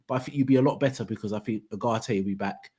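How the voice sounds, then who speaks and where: A man's voice: on the low side, very fast, a little mellow, breathy edge. A man from hosting a casual football debate, rambling and restarting his sentences as he argues. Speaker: football podcast host.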